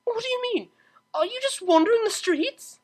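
A woman's voice: extremely high pitch, lively intonation, moderate pace, voice pushed up. A woman voicing a proper young female pony cellist who speaks in formal, precise wording. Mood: alarmed. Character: prim, proper young mare cellist, worried.

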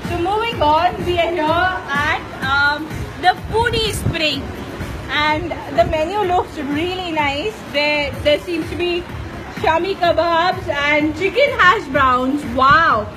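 A woman's voice: extremely high pitch, slow pace, poor audio. A woman in her thirties with an Indian English accent, enthusiastically hosting live coverage at an outdoor food festival with a handheld mic. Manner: enthusiastic, chatty, addresses viewers. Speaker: host. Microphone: handheld mic, phone camera close. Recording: festival music and crowd noise.

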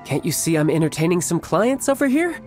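A man's voice: on the high side, very animated, a little fast, a little mellow, soft background music. A man voicing a charming, self-assured young soldier in a video game. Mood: amiable. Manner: smooth, amiable, confident.

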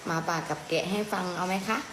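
A woman in a mother's role, telling simple stories to a small child in a casual, coaxing way through gentle questions. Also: quick pace. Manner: coaxing, question-led, with polite particles. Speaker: mother prompting her young child. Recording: echoey room with clear background noise.

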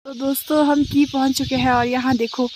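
A woman's voice: high voice, flat delivery, brisk, noisy background. A young woman from India vlogging on a handheld camera, talking casually to her viewers as friends. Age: young adult. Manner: chatty, addresses viewers as friends. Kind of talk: casual travel vlog narration. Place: outdoors, cafes, shops and a bus.

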